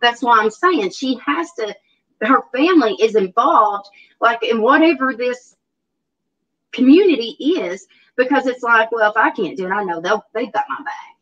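A woman's voice: fairly high pitch, expressive, normal speed, fairly bright tone, distant mic, muffled recording. A middle-aged woman with a Southern US accent, rambling and hesitant as she reads tarot cards on a video call from her home. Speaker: tarot reader guest. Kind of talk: casual tarot reading on a livestream. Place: home room indoors. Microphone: video call webcam.

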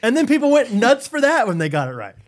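A man's voice: extremely high pitch, huge pitch swings, fast. A man in his thirties co-hosting a podcast, telling rambling stories with frequent restarts, recorded on a studio mic. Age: 30s. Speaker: podcast co-host. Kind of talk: casual chat. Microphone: studio mic on boom arm, headphones.

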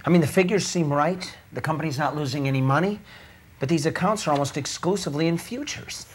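A man's voice: on the high side, fast, a little hiss or hum, close to an everyday voice. An actor in his forties playing an earnest, doubtful auditor, tactful and hesitant, in a corporate training-film drama. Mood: puzzled. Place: indoor office.

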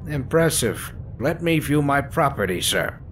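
A man voicing an old, bumbling male dwarf cleric with a pompous, bluffing manner and a gravelly voice. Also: medium pitch, expressive, measured pace, fairly bright tone, a little hiss or hum.

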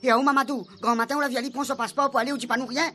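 A woman's voice: sits high, fairly even intonation, rapid-fire, bright, forceful tone, pitched above the performer's own voice. A woman with a Réunionese accent voicing a brash young male island buddy in a local comedy radio soap, with bossy, scolding banter. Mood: agitated.

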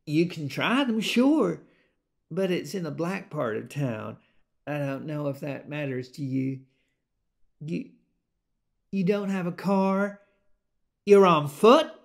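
An actor playing a blunt local in an audio drama, halting and conversational, as if giving directions to a traveller. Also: male voice, a little high, creaky. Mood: hesitant, doubtful. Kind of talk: naturalistic audio drama monologue.

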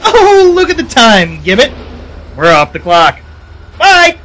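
An actor playing a flippant gnome maintenance worker in an audio drama, slangy and cheeky. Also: male voice, extremely high pitch, wildly swooping pitch, slow pace, fairly bright tone, a little hiss or hum, heavily acted. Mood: breezy.

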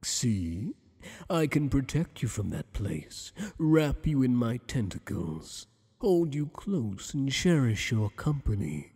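A man playing a flirtatious, possessive tentacled alien boss in an ASMR roleplay, speaking in a teasing, courtly, deliberate way with long echoing reverb. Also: mid-range voice, sing-song, mellow, husky, full character voice. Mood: seductive, coaxing.

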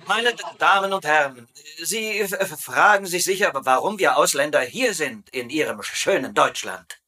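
A man's voice: a little high, lively intonation, normal speed, sharp tone. A man voicing a cheeky prisoner of war who hosts a camp show in a German comedy dub, with stammering showman patter. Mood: showy.

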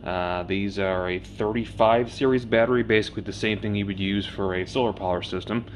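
A man giving a casual how-to narration of his DIY work, plain-spoken and relaxed, with plenty of "uh" fillers. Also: fairly low pitch, brisk, slight background noise. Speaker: DIY mechanic vlogger.

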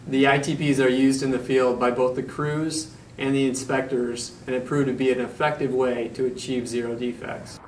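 Young man, flat, roomy and distant. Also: mid-range voice, moderate pace, hardly any pauses, a little hiss or hum. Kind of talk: prepared explainer. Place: small office room.

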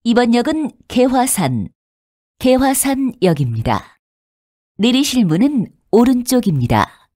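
A woman's voice: fairly high pitch, very animated, a little slow, warm tone, dry recording, studio quality. A woman reading scripted subway announcements in a polite, formal and carefully enunciated way. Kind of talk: scripted public transit announcement.

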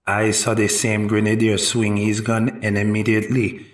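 A man acting a sober, careful eyewitness giving deliberate testimony in a historical courtroom drama. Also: lowish voice, monotone, lightly acted. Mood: earnest, measured. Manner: deliberate, measured storytelling. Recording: roomy echo, distant mic.

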